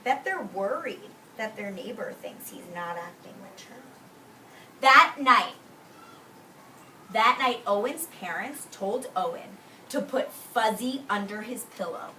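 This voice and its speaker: A woman teacher reading a picture book aloud to young children, expressively and deliberately, drawing out key words and explaining simply. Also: mid-range voice, lively intonation, pushed and bright. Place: indoors in a classroom with young children. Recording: roomy distant mic with faint background noise.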